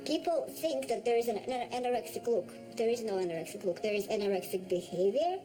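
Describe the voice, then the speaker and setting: A woman in her forties from Russia with a Russian accent, speaking in a documentary interview in a measured way with pauses and restarts. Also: fairly high pitch, expressive, music in the background, poor audio. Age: 40s.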